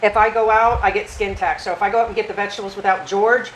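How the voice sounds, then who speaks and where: A woman's voice: mid-range voice, steady tone, fast, low-quality recording. Older american lady chatting, hops between points, bit of background noise. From United States. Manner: chatty, talks over her partner, jumps between points. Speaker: co-host. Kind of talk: casual chat.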